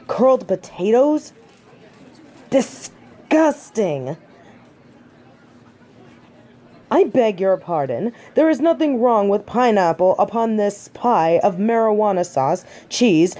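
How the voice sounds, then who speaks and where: A woman's voice: mid-range voice, mellow. A woman playing a snobby, centuries-old fallen angel of judgement in a scripted audio roleplay, with a pompous, formal and disdainful way of speaking. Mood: disgusted, indignant.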